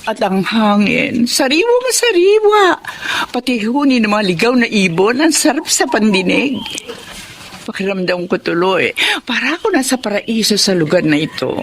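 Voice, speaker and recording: Expressive middle-aged Filipino woman, melodrama mother. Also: on the high side, moderate pace. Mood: delighted, wistful. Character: bitter, hard-hearted middle-aged mother, scornful, wounded. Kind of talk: melodramatic Filipino radio drama acting.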